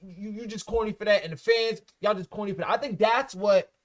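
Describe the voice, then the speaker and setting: A man co-hosting a laid-back podcast, talking in a blunt, emphatic way full of profane street slang. His voice sits a touch higher than most men's. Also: fairly even intonation, fairly quick. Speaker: podcast co-host. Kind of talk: casual chat about rap and culture.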